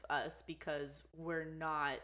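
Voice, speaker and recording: A woman's voice: low voice, level tone, takes it slowly, bright, forceful tone. A woman on a casual podcast, talking through her experiences thoughtfully and hesitantly, with many "like" fillers. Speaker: podcast co-host. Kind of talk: casual chat.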